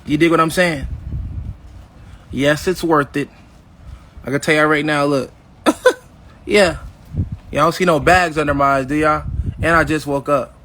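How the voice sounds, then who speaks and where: A man's voice: fairly high pitch, steady tone, measured pace, pauses often, bright, forceful tone, a little hiss or hum. A man in his fifties talking casually to his viewers, boasting in a swaggering way and acting out old conversations. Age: 50s. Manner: swaggering, addresses viewers directly as y'all; acts out past conversations in quotes. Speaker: vlogger. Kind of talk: casual boastful livestream talk.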